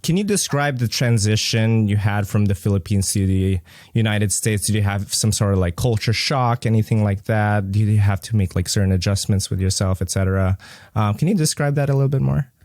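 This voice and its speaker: A man's voice: on the low side, brisk, a little mellow, no echo, studio quality. A man hosting a podcast interview, polite and a little formal, with a few hesitations. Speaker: podcast host.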